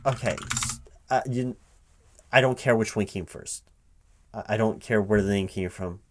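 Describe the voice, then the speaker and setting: A man playing a recruiting interviewer in a one-sided spoken ASMR roleplay, halting and repeating his words in a baffled, exasperated way. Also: fairly low pitch, noisy background. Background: computer keyboard typing.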